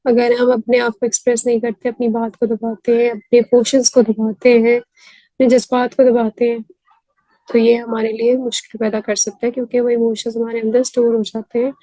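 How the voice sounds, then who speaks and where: Hoarse Pakistani woman, dark flat voice. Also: a little high, moderate pace, no echo, poor audio. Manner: mixes Urdu and English, earnest and explanatory. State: hoarse from a sore throat. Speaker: mental health advocate and series host. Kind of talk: self-help talk to camera.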